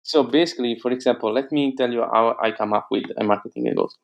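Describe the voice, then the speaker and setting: A man's voice: mid-range voice, quick pace, gravelly. A man whose first language is Italian, speaking with an Italian accent in a casual interview, hesitant with many fillers and restarts. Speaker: guest entrepreneur.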